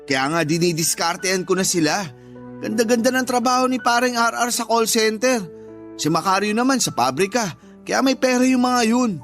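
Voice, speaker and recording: An actor playing a proud, insecure working-class young husband who argues defensively in a radio drama. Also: male voice, high-pitched, normal speed, a bit bright. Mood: breezy, defensive. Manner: dismissive, defensive, argumentative. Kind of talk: Tagalog radio drama acting. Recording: music bed behind the voice.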